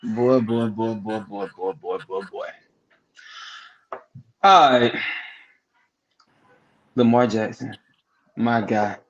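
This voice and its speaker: A man's voice: on the low side, slow pace, long pauses, highs cut off. A man co-hosting a casual sports podcast, breaking games down in a profane, emphatic style and repeating words for effect. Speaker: podcast co-host.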